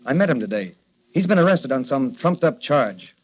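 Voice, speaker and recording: An actor playing an earnest young rookie cop and secret crime fighter, brisk and confident, in old radio drama style. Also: male voice, mid-range voice, very animated, husky. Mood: intrigued, urgent. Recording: dull, noisy old radio recording.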